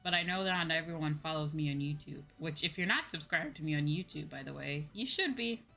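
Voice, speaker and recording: Bright-voiced woman streamer venting casually. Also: low-pitched, a little fast, creaky, faint music behind. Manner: exasperated venting with casual swearing. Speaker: VTuber streamer, host. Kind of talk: casual livestream chat.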